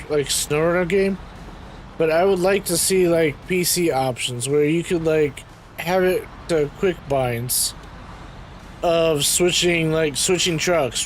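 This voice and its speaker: A man with a raspy voice, casually commenting to himself as he plays a game, clearing his throat now and then. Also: fairly high pitch, measured pace, slight background noise. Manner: muttering to himself while playing, frequent ums and throat-clearing. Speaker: game streamer.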